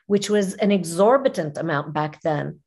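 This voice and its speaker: A middle-aged woman whose first language is Arabic, telling personal stories as an interview guest in an expressive way, repeating phrases for emphasis. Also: low-pitched, normal speed, husky. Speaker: podcast guest, image consultant. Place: small furnished room indoors, video call. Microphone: video call, seated at webcam distance.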